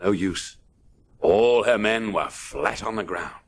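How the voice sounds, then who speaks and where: A man's voice: lowish voice, takes it slowly, slight background noise. A man narrating a children's adventure story dramatically, punching out the action words. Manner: punchy, theatrical sound-effect words.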